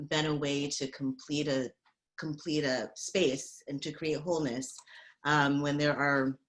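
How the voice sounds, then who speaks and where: Low-voiced woman, hesitant and searching. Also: poor audio. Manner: hesitant, with many fillers and restarts. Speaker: panelist. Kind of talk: reflective panel talk.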